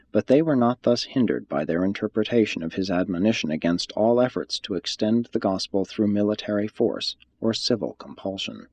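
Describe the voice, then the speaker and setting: A man reading a religious text aloud as scripted audiobook narration, in a measured, formal and solemn manner. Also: fairly low pitch, a little mellow, breathy edge.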